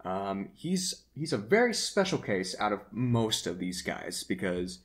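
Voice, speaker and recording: Animated mid-pitched host in casual chat. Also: unhurried. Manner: prompting, questioning. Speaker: host showing characters and asking for guesses. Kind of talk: casual unscripted reaction chat.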